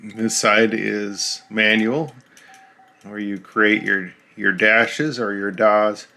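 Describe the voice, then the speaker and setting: A man's voice: lowish voice, level tone, slow, creaky, sharp tone. A man casually narrating an unboxing of a radio key, explaining each part plainly as he shows it.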